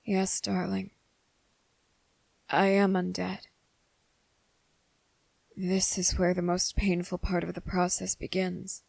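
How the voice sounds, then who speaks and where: A woman voicing a young, devoted and possessive male vampire in an ASMR roleplay. She speaks slowly and softly, close to the microphone, and slips into whispers. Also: lowish voice, monotone, vocal fry, dry recording, full character voice. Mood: tender, grave. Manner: slow, soft-spoken and intimate, slipping into whispers.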